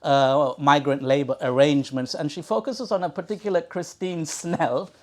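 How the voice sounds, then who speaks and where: A man from Sri Lanka hosting a book talk, speaking in an erudite, wordy way with halting restarts and repeated words. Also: on the high side, fairly even intonation, a little mellow. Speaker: event host introducing an author. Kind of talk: academic introductory remarks at a live book talk. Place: talk in a bookshop before an audience.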